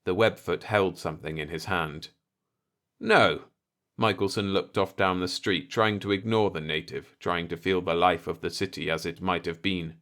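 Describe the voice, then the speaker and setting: A man reading a scripted story as an audiobook narrator, with measured, deliberate phrasing. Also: low voice, steady tone, a bit bright.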